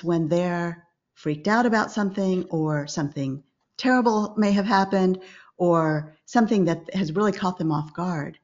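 An older woman, a business coach, speaking calmly and instructively as a guest in a video-call interview. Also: lowish voice. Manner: calm, measured and instructive. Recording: slightly dull video-call audio.

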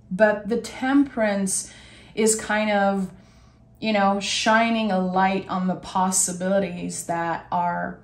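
A woman with a raspy voice giving a gentle, soothing tarot reading. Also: medium pitch, reverberant. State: raspy voice today. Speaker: tarot reader.

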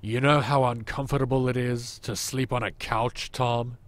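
An actor playing a crude, sarcastic, unhappily married boss in an audio drama, with deadpan delivery. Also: male voice, mid-range voice, moderate pace, lightly acted. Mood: glum.

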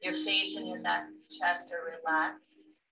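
A woman guiding a yin yoga class from a studio room, giving gentle instructions and affirmations. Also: fairly low pitch, level tone, slow pace, creaky, roomy sound, background noise. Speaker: yoga teacher. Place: large studio room with a gong. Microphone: far camera. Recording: distant mic, music behind.

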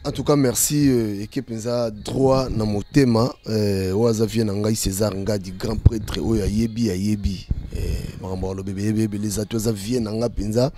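A man's voice: lowish voice, fairly even intonation, normal speed, hardly any pauses, mellow. A middle-aged man with a Congolese accent, hosting a casual interview on a handheld microphone. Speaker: interviewer, host. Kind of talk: casual interview, switching between Lingala and French. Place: indoors on a sofa.

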